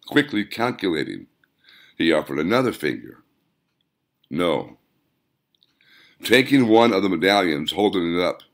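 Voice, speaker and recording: A man with a deep, creaky voice narrates an audiobook story. His delivery is deliberate and dramatic, with pitch that swings widely from phrase to phrase. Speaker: author narrating own audiobook.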